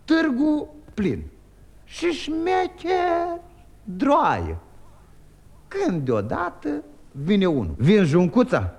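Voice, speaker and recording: An actor playing a merry wandering male trickster who jokes in quick rhyming verse, in a 1950s radio play. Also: high-pitched, huge pitch swings, a little mellow, heavily acted. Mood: storytelling.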